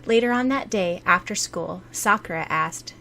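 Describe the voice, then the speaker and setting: A woman's voice: lowish voice, normal speed, bright, forceful tone, a little hiss or hum. A woman reading scripted story narration expressively, as an audiobook narrator.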